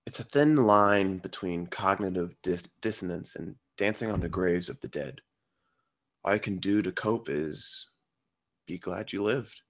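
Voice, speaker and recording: Man playing an injured, gravelly, sardonic trapped man. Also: low voice. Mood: wry, deflecting. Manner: wry, blunt, halting through pain. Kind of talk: acted table read of a film script.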